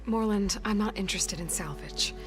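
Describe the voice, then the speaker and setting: Woman playing a young huntress in a game cutscene, direct n matter-of-fact, music pretty loud under it. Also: medium pitch, normal speed, lightly acted. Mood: curious, direct. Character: young female hunter, practical, curious, dryly direct. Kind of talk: video game cutscene dialogue.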